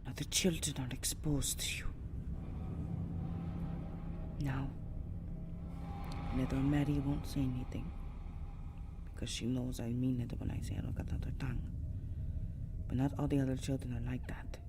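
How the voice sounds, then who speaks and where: A woman playing a seductive, stern and threatening female vampire in an ASMR roleplay, with a dramatic, deliberate delivery. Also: rumbling low, level tone. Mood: urgent, stern. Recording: clearly noisy, ambient background.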